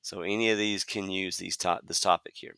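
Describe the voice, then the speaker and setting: Slightly hoarse, bright-voiced podcast host man. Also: deep voice, steady tone, fairly quick, dry recording. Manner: conversational, frequent "uh" fillers. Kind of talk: solo podcast monologue.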